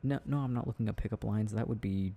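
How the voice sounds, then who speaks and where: Gravelly, low-voiced young man, playful boyfriend. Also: dark tone. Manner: giggly, playful, affectionate, halting. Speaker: boyfriend roleplay audio performer. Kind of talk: intimate ASMR boyfriend roleplay, casual improvised chat.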